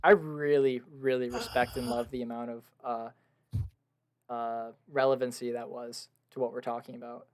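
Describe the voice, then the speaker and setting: A man's voice: mid-range voice, little pitch movement, moderate pace, smooth. Young male podcast co-host, enthusiastic fan, gushing and laughing. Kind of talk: casual film review chat. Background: washing machine at home.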